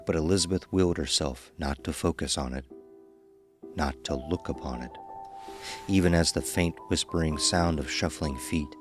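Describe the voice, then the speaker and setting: A man's voice: a bass voice, normal speed. A man narrating a scripted horror story. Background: faint music.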